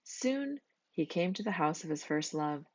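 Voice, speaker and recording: A woman's voice: lowish voice, animated, unhurried, a little mellow, no room sound. A woman reading a scripted children's story as its narrator. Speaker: storybook reader.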